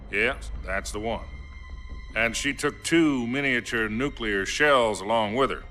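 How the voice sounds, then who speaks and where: An actor playing a sober, formal American president in a cinematic video game, measured and diplomatic. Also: male voice, medium pitch, pushed and bright, soft background music. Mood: sober, regretful.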